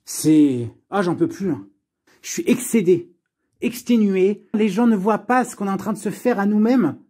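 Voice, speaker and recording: A high-pitched male voice with a soft, mellow tone. He rants off the cuff in a blunt, sarcastic way, sounding fed up and swearing freely. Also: lively intonation, moderate pace. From France. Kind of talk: unscripted personal rant.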